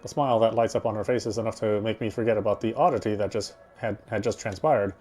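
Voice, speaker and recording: Man voicing a fast, wry British narrator. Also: lowish voice, steady tone, darkish tone, soft background music, natural voice. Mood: resigned, reflective. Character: wry, self-justifying British husband's inner monologue. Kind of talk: first-person visual novel narration.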